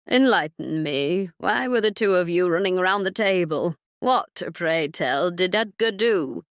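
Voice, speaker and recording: A woman voicing a formal, dryly exasperated male household retainer in an audiobook romance, chiding with deliberate phrasing. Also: on the low side, expressive, no echo, clean studio sound.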